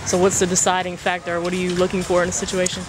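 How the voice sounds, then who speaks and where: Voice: mid-range voice, quick pace. An interviewer asking short, prompting questions and filling gaps with "mm-hmm.". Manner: brief prompting questions and steady "mm-hmm" backchannels. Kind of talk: casual interview. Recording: music over the voice. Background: music.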